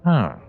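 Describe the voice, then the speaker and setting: A man acts out a confident, flirty stranger in a romantic audio roleplay. His low voice has a gravelly creak and swings expressively as he teases and charms the listener in a playful, coy way. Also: muffled recording, exaggerated voice. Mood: romantic, pleased. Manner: teasing, coy, unhurried, murmuring endearments. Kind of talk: romantic audio roleplay, one-sided dialogue addressed to the listener.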